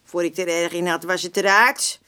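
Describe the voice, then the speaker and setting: A woman's voice: lowish voice, fairly even intonation, normal speed, pushed and bright, smooth. An actress playing a feisty, proud old woman in a radio drama, blunt and colloquial. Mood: upset, confiding. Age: older. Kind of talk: Dutch radio drama acting.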